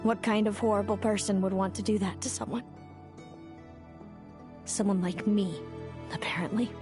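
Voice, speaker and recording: An actress playing a bitter, tormented fae older sister in a video game, speaking in a dramatic, wounded, confessional way. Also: female voice, mid-range voice, steady tone, moderate pace, natural voice. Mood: regretful confession. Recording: music under the voice. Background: background music.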